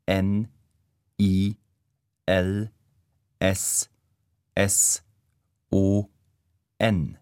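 A man giving measured, deliberate answers in a scripted language-course dialogue. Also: low voice, little pitch movement, a little mellow, smooth, dry recording, studio quality.

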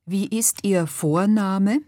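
A woman asking clear, patient questions in a slow scripted language-course dialogue. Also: medium pitch, dark tone, dry recording, clean studio sound.

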